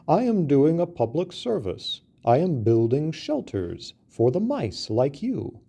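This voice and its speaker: A man's voice: on the high side, wildly swooping pitch, a little slow, creaky, warm tone, breathy edge, voice pushed up, full character voice. A man voicing a well-meaning male elephant who explains himself patiently and reasonably. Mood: earnest.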